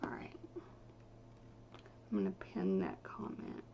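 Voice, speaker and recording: A woman vlogger talking casually and haltingly as she reads through viewer comments, trailing off between short phrases. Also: a bass voice, huge pitch swings, gravelly, in a whisper, dull audio. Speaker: video creator.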